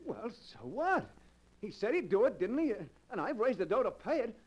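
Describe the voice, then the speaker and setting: An actor playing a desperate, guilt-ridden American crook in melodramatic, slangy 1940s radio style. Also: male voice, very high-pitched, sing-song, normal speed, husky, exaggerated voice. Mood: frantic, demanding. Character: desperate, guilt-ridden locksmith turned criminal. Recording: noisy vintage 1940s radio recording.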